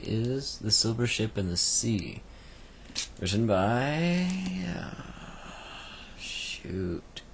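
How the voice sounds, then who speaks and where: Croaky deep-voiced man rambling. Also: a little hiss or hum. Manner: rambling, many fillers and restarts. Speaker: book reviewer. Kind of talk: casual spoken book review.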